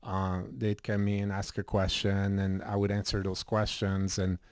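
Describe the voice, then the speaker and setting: Middle-aged man from Switzerland, low voice, flat delivery, relaxed rambling guest, dry sound. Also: unhurried, no room sound. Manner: relaxed, rambling with many fillers. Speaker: guest, health shop owner. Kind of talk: casual interview talk.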